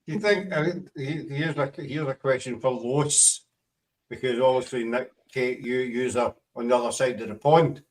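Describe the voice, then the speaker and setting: Brief: Scottish male host, rambling, self-correcting, mid-pitched, sits back from the mic. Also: steady tone, normal speed, far from the mic, poor audio. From Scotland. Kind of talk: casual panel chat.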